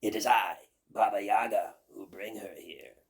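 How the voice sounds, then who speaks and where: A man voicing a bossy, threatening old witch from a folk tale, with a raspy, creaky voice and slow theatrical commands. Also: on the low side, pitched below the performer's own voice, heavily acted. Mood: commanding.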